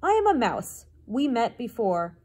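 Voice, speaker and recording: A woman's voice: on the high side, big pitch swings, a little slow, darkish tone, husky, heavily acted. A woman voicing a small, polite male mouse in a storybook, earnest and formal as he pleads. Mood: earnest pleading. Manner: formal, deferential, insistent. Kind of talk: storybook character voice.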